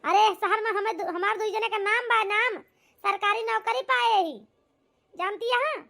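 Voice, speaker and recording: A scolding, ungrateful cartoon daughter played by a woman, bossy and full of contempt. Her pitched-up voice is extremely high and piercingly bright. She snaps in an Awadhi accent. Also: moderate pace, vocal fry, heavily acted. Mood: scolding, dismissive. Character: Poonam, harsh, scolding, ungrateful grown daughter. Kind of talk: amateur cartoon comedy-drama acting.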